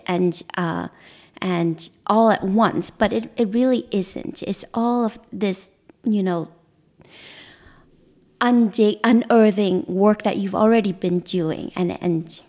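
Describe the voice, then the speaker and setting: A woman's voice: mid-range voice, darkish tone. A woman guest, a mentalist, speaking thoughtfully in a casual interview with frequent restarts. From Vietnam.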